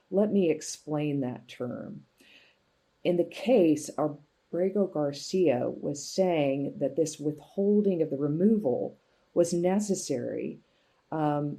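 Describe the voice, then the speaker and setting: A woman's voice: sits low, warm tone. Woman explaining carefully in an interview. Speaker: guest legal and policy expert. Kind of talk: explanatory conversational talk.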